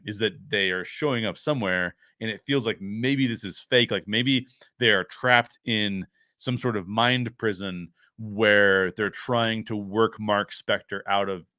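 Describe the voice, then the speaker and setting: Low-voiced man, dry close sound. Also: bright, forceful tone, dry recording. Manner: conversational, often thinking aloud. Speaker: host of a pop culture review show. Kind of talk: casual solo commentary to viewers.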